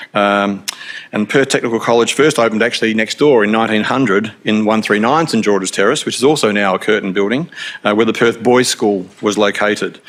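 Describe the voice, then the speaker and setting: A middle-aged Australian man opening a live event as its host, speaking in a relaxed way with joking asides and frequent fillers. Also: on the low side. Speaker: institute director opening an event. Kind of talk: live event welcome speech. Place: event venue with audience.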